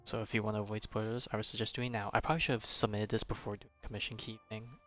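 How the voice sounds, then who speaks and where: A young male game streamer with a fairly low voice talks casually as he plays. He is informal and mutters to himself, with faint music underneath. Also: little pitch movement, racing, pushed and bright, muffled recording. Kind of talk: casual live gameplay commentary.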